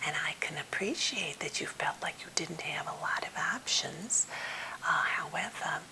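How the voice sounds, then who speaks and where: A woman playing an anxious, well-meaning, slightly odd therapist held captive, in a breathy whisper with a halting, fussily polite manner, for an ASMR role play. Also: low-pitched, lively intonation, pushed and bright, exaggerated voice. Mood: quietly reproachful. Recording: hissy, noisy home recording.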